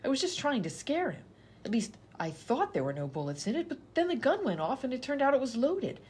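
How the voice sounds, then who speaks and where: A woman plays an indignant, exasperated female patient in a radio comedy. The voice is high and very animated in pitch, full of pleading protest. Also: fairly quick, breathy edge, full character voice. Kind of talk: comedy radio sketch acting.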